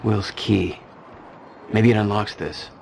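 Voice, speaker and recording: An actor playing a defiant, wary, wisecracking fugitive in a video game, with a gravelly voice. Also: male voice, steady tone, slow, a little mellow. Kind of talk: video game cutscene acting. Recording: very noisy game sound, vehicle rumble.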